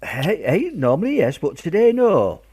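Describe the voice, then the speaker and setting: A man's voice: a little high, sing-song, normal speed, a crowd in the background. A man playing a blunt, affectionate working-class British father in a wartime radio play. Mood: hearty, insistent.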